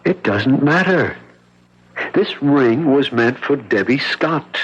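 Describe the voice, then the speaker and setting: An actor playing a kindly, solemn chaplain in a 1970s radio drama, grave, measured and consoling. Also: male voice, mid-range voice, expressive, slightly rough. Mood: warmly consoling. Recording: dull lo-fi radio recording, loud music.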